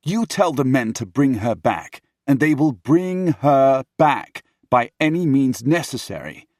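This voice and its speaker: A man's voice: mid-range voice, a little mellow, no room sound, clean studio sound, pitched above the performer's own voice. A man voicing an exasperated, outspoken young prince who scolds his brother in emphatic audiobook dialogue.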